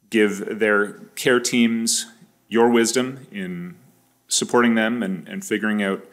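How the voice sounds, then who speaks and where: A man leading a congregation in prayer, speaking haltingly and often repeating words. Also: on the low side, a bit bright. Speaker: prayer leader. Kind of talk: pastoral prayer.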